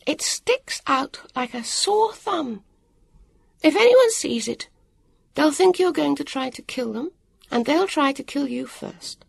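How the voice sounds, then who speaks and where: A woman's voice: high voice, expressive, slow, vocal fry, dry recording, pitched above the performer's own voice, full character voice. A woman voicing a harassed, irritable grown woman who guides travellers, in a brusque, impatient manner. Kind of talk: audiobook character voice.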